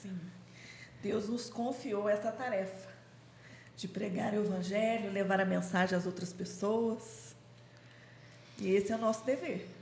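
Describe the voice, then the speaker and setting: A woman's voice: medium pitch, measured pace, gravelly, pauses often, a little hiss or hum. A woman co-hosting a Bible study, speaking earnestly and steadily as she leads a prayer. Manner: earnest and steady, praying aloud.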